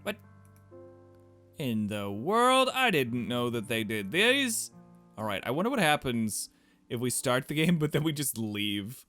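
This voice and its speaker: A man's voice: mid-range voice, big pitch swings, measured pace, bright, forceful tone, soft background music. A man hosting a video game playthrough with casual, rambling commentary and loose conversational asides.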